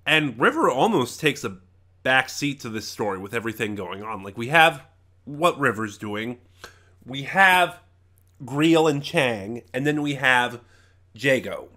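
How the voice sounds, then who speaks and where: Man, mid-pitched, very bright forceful voice, casual reviewer, unscripted, emphatic, halting delivery. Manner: halting, trails off and regroups mid-thought. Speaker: online reviewer.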